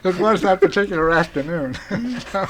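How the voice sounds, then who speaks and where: A man's voice: sits high, lively intonation, a little hiss or hum. Older man telling rambling radio anecdotes. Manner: rambling, halting, groping for words. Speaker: radio veteran guest, former sound effects man. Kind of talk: anecdotal storytelling on a talk show. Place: radio studio.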